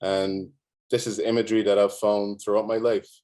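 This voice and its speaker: Low, flat-voiced man from Barbados. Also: moderate pace. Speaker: guest artist. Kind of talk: conversational self-introduction.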